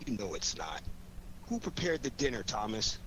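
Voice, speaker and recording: Glib, lively radio detective, noisy recording. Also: male voice, lowish voice, normal speed. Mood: probing. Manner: glib, wisecracking, slangy. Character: wisecracking cocky amateur detective, a man. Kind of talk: 1940s radio detective drama acting.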